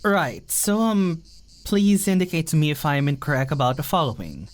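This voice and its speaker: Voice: medium pitch, expressive, moderate pace, slight background noise, close to an everyday voice. An actor playing a polite, nervous young human traveler in an audio drama, hesitant, earnest and placating. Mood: careful, nervous. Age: young adult. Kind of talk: audio fiction podcast acting.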